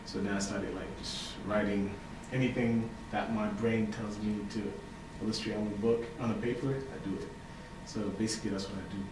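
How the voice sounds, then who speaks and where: Young haitian-born guy chatting about poetry, relaxed, fillers everywhere, noisy roomy sound. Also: on the low side, brisk, hardly any pauses. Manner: relaxed, chatty, many fillers and "you know". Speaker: poet and writer, guest. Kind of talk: casual interview chat. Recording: echoey room, mic far off, noisy.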